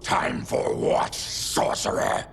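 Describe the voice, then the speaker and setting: An actor playing a gruff, honourable mutant warrior in a video game, gravelly and terse. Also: male voice, slow, a little hiss or hum. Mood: defiant. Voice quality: gravelly, rasping. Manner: terse, forceful. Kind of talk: video game cutscene acting.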